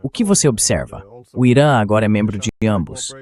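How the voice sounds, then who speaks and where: A man's voice: on the low side, big pitch swings, fairly quick, a little mellow. A man with a Brazilian accent voicing a dubbed political interview as the host.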